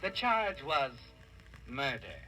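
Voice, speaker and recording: A man's voice: mid-range voice, big pitch swings, slow pace, poor audio. Dramatic old-time radio mystery storyteller, male. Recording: old radio broadcast, music underneath.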